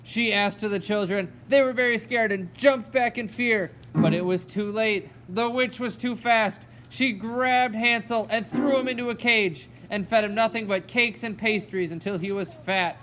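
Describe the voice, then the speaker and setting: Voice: medium pitch, fairly even intonation, normal speed, few pauses, background noise. A storyteller narrating a fairy tale for a children's puppet show. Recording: loud music alongside the voice. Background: music.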